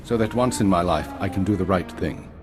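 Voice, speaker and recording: A grave, weary spymaster played by a man with a low voice and measured, formal delivery. He is an older, regretful official who still carries authority, in a dramatic video game scene with music clearly behind him. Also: lightly acted. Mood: remorseful. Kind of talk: scripted dramatic game acting.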